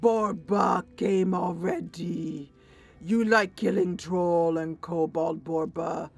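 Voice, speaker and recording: Woman voicing a slow, menacing male troll. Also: on the low side, steady tone, vocal fry, a little mellow, breathy edge, exaggerated voice. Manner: halting, heavy broken grammar, menacing. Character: angry, wounded male troll with broken speech.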